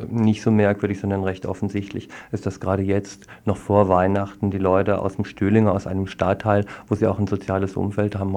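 Low-voiced man with an even, flat delivery. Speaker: radio host and interviewer. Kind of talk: unscripted radio interview and commentary. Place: radio studio.

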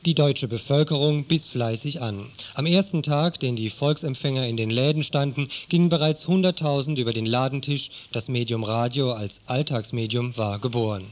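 A man's voice: mid-range voice, moderate pace, few pauses. A man narrating a scripted radio news feature in an earnest, critical tone.

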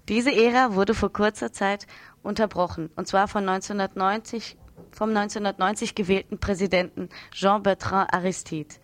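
A woman's voice: mid-range voice, dry recording. A woman newsreader delivering a scripted radio news report.

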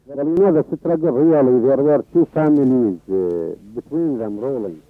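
A man of medium pitch answers as an interview guest. His voice comes through a narrow, phone-like band. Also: moderate pace. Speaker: interview guest, political opposition member. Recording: dry, narrow phone-like sound.

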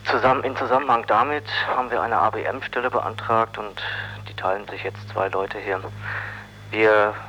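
A man answering interview questions as an activist spokesman, explaining haltingly and repeating words as he goes. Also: fairly low pitch, steady tone, sharp tone. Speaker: spokesman for an unemployed people's initiative. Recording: dull, faintly hissy old radio interview.

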